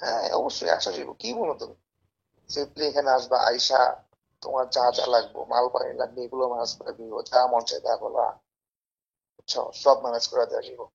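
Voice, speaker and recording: A Bangladeshi man making an informal phone sales pitch in a pushy, persuasive, repetitive way. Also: fairly quick, vocal fry, slightly rough, dull audio. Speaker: caller pitching a service as a tout.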